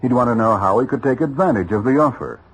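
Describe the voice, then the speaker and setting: An American man with a husky voice delivering a persuasive scripted announcement on vintage radio. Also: medium pitch, expressive, quick pace, low-quality recording. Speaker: announcer of armed forces education spot. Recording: vintage radio broadcast recording.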